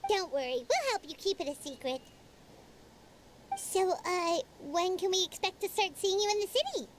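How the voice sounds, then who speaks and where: Squeaky, very high-pitched little fairy sidekick. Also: female voice, very animated, normal speed, background noise. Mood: eager. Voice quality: squeaky, childlike. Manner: refers to self in third person, perky. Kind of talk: animated fantasy game acting.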